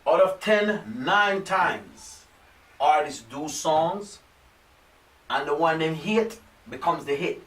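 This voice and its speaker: A man's voice: fairly high pitch, lively intonation, slow, a bit bright, distant mic. A man who works as a DJ, chatting in a small radio studio in a rambling, emphatic way. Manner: rambling, emphatic, repeats "you know what I mean". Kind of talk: casual studio chat. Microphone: desk microphone. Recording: echoey room, off mic, faint hiss.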